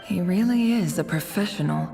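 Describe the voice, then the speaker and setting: A woman's voice: fairly low pitch, normal speed, gravelly, dark tone. A woman voicing a thoughtful young game heroine, musing inquisitively to herself. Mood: pensive, curious. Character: thoughtful young woman traveller piecing clues together. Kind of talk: dramatic game voice acting, inner monologue. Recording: long reverb, music underneath. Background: music.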